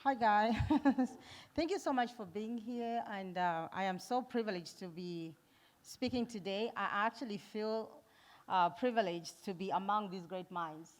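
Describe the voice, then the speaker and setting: A middle-aged woman from Zambia, a nurse giving a conference talk, earnest and warm, laughing often and pausing with fillers. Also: mid-range voice. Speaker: nurse and foundation founder speaking at an event. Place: event hall.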